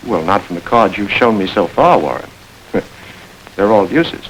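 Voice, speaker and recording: An actor playing Gene, a world-weary middle-aged crook, in a 1940s radio drama, clipped and sardonic. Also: male voice, on the low side, lively intonation, fairly bright tone, low-quality recording. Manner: clipped, sardonic, quick delivery. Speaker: Gene, a criminal facing the chair. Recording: hissy old radio recording.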